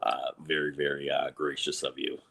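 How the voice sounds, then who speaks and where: A man from northern Vermont, guest author on a podcast, relaxed with many fillers, recovering from a lost voice. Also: extremely low pitch, moderate pace, creaky. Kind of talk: casual interview.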